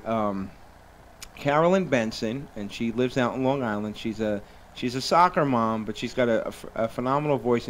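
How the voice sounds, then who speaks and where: A male radio host rambles through sponsor reads and show announcements, full of ums and restarts. His voice sits at an ordinary middle pitch. A faint hum of ambience sits behind him. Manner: rambling, filler-heavy, upbeat plugs.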